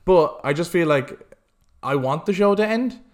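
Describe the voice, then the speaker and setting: A man's voice: fairly high pitch, normal speed. Man in casual podcast chat. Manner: animated, rambling, opinionated, often swearing. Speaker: podcast host.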